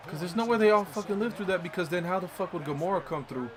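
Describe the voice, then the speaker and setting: A man's voice: high-pitched, quick pace, crowd noise. A man talking films casually with a friend, slangy and full of swearing. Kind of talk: casual excited movie discussion with a friend.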